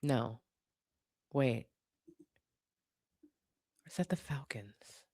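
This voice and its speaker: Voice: medium pitch, drawn-out words, dark tone, no echo. A livestream host chatting casually and off the cuff with viewers, opinionated and sassy, in colloquial language. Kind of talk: casual unscripted chat, reacting to comments.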